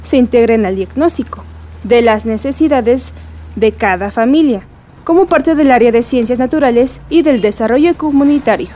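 An actress playing a practical young Mexican graduate in a radio soap, talking casually as a best friend. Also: female voice, on the high side, moderate pace, warm tone, natural voice. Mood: friendly, practical. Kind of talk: radio soap opera dialogue.

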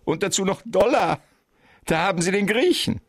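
An actor playing a smug, scheming gentleman crook in a radio crime drama, with a gravelly, husky voice and a pompous, drawn-out, mockingly courteous manner. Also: sits high, expressive, dry recording, studio quality. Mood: smug, amused.

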